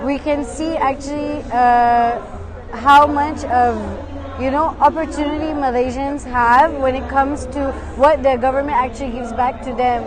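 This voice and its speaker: A woman from Saudi Arabia answering interview questions in a relaxed way, with many fillers like "like" and "you know". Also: high voice, a little slow. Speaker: interview guest. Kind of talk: casual conversation. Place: busy expo hall. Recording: crowd chatter behind.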